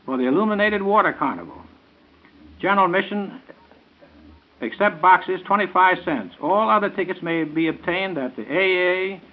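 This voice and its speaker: An American man making scripted announcements in the style of 1930s radio. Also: high voice. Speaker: event announcer on a radio show. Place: radio studio. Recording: tinny, narrow 1930s radio broadcast.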